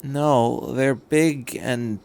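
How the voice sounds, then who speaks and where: A man's voice: mid-range voice, steady tone, drawn-out words, creaky, darkish tone, clear, resonant tone. A man voicing a withdrawn, anxious teenage boy in a story reading, hesitant and terse. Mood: subdued.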